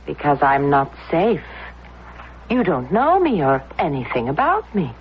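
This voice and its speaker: A woman's voice: sits low, wildly swooping pitch, unhurried, exaggerated voice. A mysterious, witchy young temptress in a radio drama. She takes her time, playful and theatrical, with a dangerous tease in every line. The sound is dull, with some noise. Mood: guarded, teasing. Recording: muffled, noisy radio drama recording.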